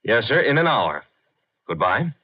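Smart-aleck male detective assistant, lively swooping voice, mock polite, dull 1950s radio recording. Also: mid-range voice, a little slow, slightly rough. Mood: brisk, wry. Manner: sarcastic, mock-deferential.

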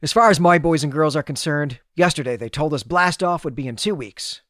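A man's voice: on the high side, brisk, a bit bright, no room sound, studio quality, natural voice. A man voicing a cocky, blunt Marine commandant of twenty-two as he reads from a science fiction story. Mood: confident, blunt.

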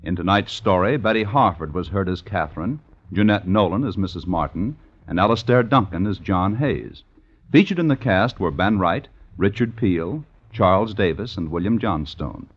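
A man's voice: low voice, expressive, moderate pace, breathy edge. A man reading scripted announcements in a 1950s radio style. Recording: dull vintage radio recording with music. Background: music.

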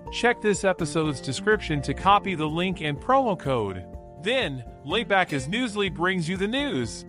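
A man reading a sponsor ad in a clear, promotional way. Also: on the high side. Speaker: ad reader. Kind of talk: sponsor ad read.

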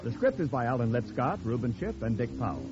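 A man's voice: medium pitch, big pitch swings, fast, over music. A man announcing in 1940s radio style, with a polished, carefully enunciated sales delivery. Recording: dull vintage radio recording.

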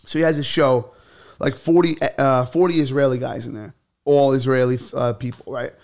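A young man, a comedian, telling stories in casual podcast chat and slipping into put-on accents. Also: medium pitch. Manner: animated, rapid switches into put-on accents. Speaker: comedian guest.

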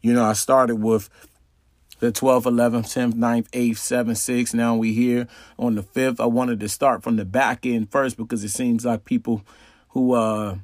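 A man's voice: mid-range voice, little pitch movement, a little slow, no room sound. A man hosting an astrology talk in a casual, conversational way, repeating phrases and asking rhetorical questions. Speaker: astrology channel host. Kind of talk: casual explanatory monologue.

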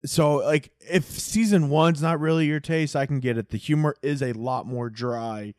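A man's voice: a little high, fairly even intonation, normal speed, darkish tone, dry recording, studio quality. A man co-hosting a podcast in casual chat, opinionated and emphatic, swearing freely. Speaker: podcast co-host.

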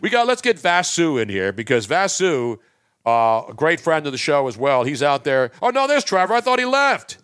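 A man's voice: on the high side, big pitch swings, moderate pace, a bit bright, clean tone. An older man hosting a late-night talk show, rambling and joking loosely, often losing his words mid-sentence.

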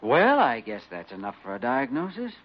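Confident, teasing young male physician for vintage radio drama: animated, brisk, wry, on muffled old audio. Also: mid-range voice. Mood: decisive. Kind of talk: 1940s radio acting. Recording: muffled old radio recording, light noise.

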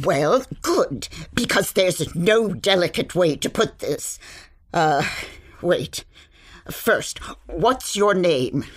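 A bossy, witch-like woman of the forest in a fantasy audio drama. Her voice sits low and she takes her time over words. She is curt, secretive and impatient, giving orders as if patience is short. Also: expressive, takes it slowly. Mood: urgent, serious. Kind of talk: audio fantasy comedy acting.

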